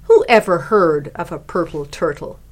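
A woman's voice: lowish voice, expressive, moderate pace, rough recording. Woman voicing a rude, scornful little turtle. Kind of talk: children's storybook reading.

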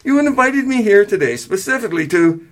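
A man's voice: a little high, very animated, fast, pitched above the performer's own voice. A man reading a story aloud, voicing an exasperated but reasonable grown-up son with an emphatic, sarcastic delivery. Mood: incredulous. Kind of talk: acted dialogue in a story reading.

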